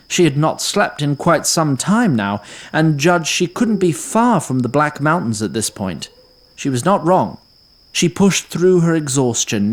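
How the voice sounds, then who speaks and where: A man reading scripted fantasy narration in a measured, literary, dryly wry manner. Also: mid-range voice. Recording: faint ambient noise.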